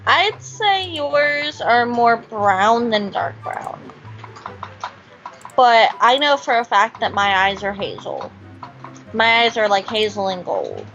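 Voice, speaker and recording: A woman's voice: on the high side, big pitch swings, slow pace, fairly bright tone, muffled recording, faint music behind. A woman co-hosting a casual chat, sometimes reading quiz questions aloud. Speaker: co-host.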